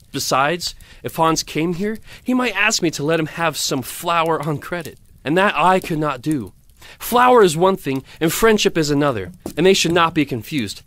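A pompous, self-righteous miller in an audio drama. His voice sits fairly high and swings widely in pitch as he moralizes with smug satisfaction. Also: fairly bright tone, no room sound. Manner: pompous, sententious. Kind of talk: theatrical audio drama acting.

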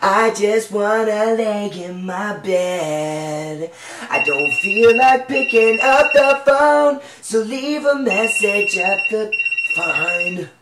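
A man's voice: high voice, expressive, slow. A man in his twenties performing a scripted comedy phone call in a theatrical way, as if quoting song lyrics. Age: 20s. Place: small bedroom indoors. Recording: roomy echo, faint hiss, camera mic.

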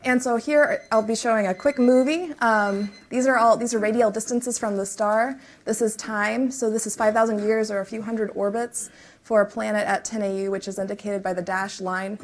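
A woman's voice: a little high, steady tone. A woman presenting research at a conference talk, explaining step by step with some fillers, then answering audience questions. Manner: explains in measured technical steps with fillers. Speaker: research speaker. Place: lecture room.

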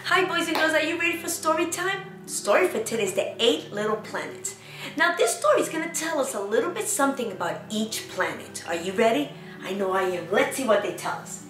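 A woman with a fairly high voice speaks to preschoolers in an animated, simple teaching manner, often asking questions. The room echoes and she sounds a little away from the microphone, with music in the recording. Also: a little fast. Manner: animated, simple child-directed talk with repeated questions. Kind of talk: children's lesson and read-aloud. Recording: roomy, echoing, distant microphone, faint music.

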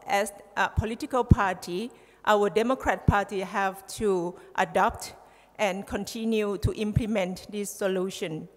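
A woman in her forties from southern Thailand with a Thai accent, giving a formal conference speech at a podium through a headset mic, in a measured, halting way. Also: medium pitch. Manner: measured, halting delivery with restarts. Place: large conference hall, at a podium. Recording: reverberant hall.

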